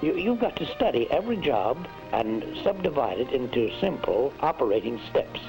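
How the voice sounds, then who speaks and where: An American factory manager in a 1940s instructional film. He explains things patiently and condescendingly, in a measured, didactic voice of medium pitch with small hesitations. It is a vintage film soundtrack with faint music. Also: gravelly, exaggerated voice, low-quality recording. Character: patient, patronizing factory boss instructing a foreman.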